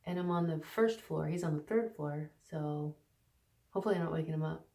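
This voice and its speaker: Low-voiced woman rambling in an echoey stairwell. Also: brisk, gravelly. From Canada. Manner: rambling, many fillers and restarts, partly hushed. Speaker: recovery vlogger. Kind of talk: casual unscripted talk to camera. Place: on the stairs at home, indoors. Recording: echoey, mic some distance away.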